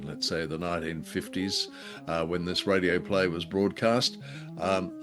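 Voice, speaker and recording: A man with a lowish voice co-hosts a conversational review podcast. He picks through his sentences haltingly, with dry, understated humour. Faint music plays underneath. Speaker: podcast co-host. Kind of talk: conversational radio drama review.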